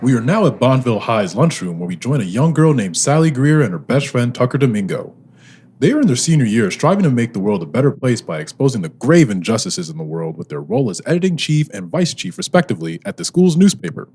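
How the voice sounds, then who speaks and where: A man's voice: medium pitch, big pitch swings, quick pace, darkish tone, husky. A man hosting and narrating a scripted radio drama in a theatrical announcer style. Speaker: host and narrator. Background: music bed.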